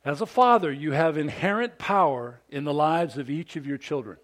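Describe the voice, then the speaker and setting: A man in his fifties preaching a sermon to fathers, with a big, animated delivery that calls for amens from the congregation. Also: a little high, normal speed. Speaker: pastor.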